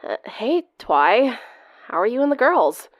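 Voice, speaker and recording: A woman voicing a sick, weary teenage girl in a cartoon story with a gravelly, throaty voice. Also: fairly high pitch, very animated, a little slow, no echo, studio quality, pitched above the performer's own voice. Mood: groggy. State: sounding ill.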